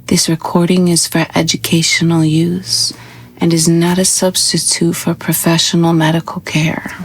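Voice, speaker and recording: A woman with a soft, breathy, husky voice guiding a scripted sleep meditation in a slow, soothing, hushed manner. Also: fairly low pitch, little pitch movement, low-quality recording. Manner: slow, soothing, hushed delivery with long pauses. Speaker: yoga nidra meditation guide.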